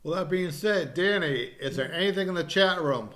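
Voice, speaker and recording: A man's voice: on the high side, normal speed, fairly bright tone. A man hosting a wrestling podcast, selling live shows over television in salesy, teasing banter. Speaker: wrestling podcast host and promoter. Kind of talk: casual promotional chat.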